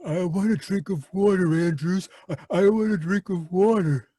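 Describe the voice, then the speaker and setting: Un hombre haciendo de paciente infantil y violento. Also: male voice, sits high, moderate pace, dark tone, husky, no room sound. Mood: wheedling. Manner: whiny, pleading then menacing. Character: childlike, violent male asylum patient. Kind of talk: live radio drama reading over video call.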